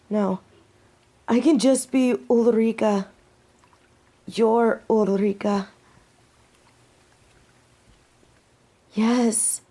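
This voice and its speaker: A woman as a devoted young wolf-girl heroine, mellow timbre, slow delivery, intimate and earnest, romantic audio roleplay. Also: mid-range voice. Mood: tender, vulnerable. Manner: earnest, halting, tender delivery with soft pauses. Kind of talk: romantic audio roleplay acting, intimate one-sided dialogue.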